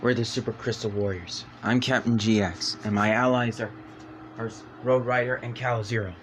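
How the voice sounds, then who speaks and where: A man performing fan-made superhero script lines as an amateur voice actor, with halting, deliberate phrasing. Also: fairly low pitch, steady tone, fairly bright tone, slight background noise.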